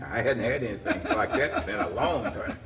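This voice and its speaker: An older man answering interview questions in a folksy, halting way with frequent pauses. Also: fairly low pitch, expressive. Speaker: interview guest, community organization president. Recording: roomy echo, distant mic, faint noise.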